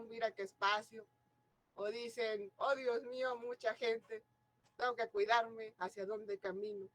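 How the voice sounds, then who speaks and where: A woman's voice: on the high side, fairly bright tone, no room sound, poor audio. A Mexican woman teaching a class, explaining ideas and often checking in with her students through questions. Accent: Mexican Spanish. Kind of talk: classroom lecture.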